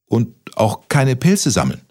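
A man's voice: fairly low pitch, huge pitch swings, unhurried, voice pushed up, full character voice. A man voicing a small, cheeky storybook boy hero who is scared yet plucky, giving short stubborn answers. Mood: frightened. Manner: short stubborn answers, stammering when afraid.

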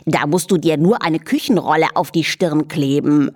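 A woman voicing a proud pig in a lowered, smug voice. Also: normal speed, a little mellow, recorded from a distance.

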